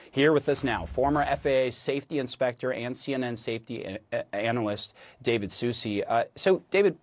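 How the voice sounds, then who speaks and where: A man's voice: mid-range voice, no room sound. American male news anchor, dry studio sound. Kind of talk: live news interview. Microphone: lapel mic.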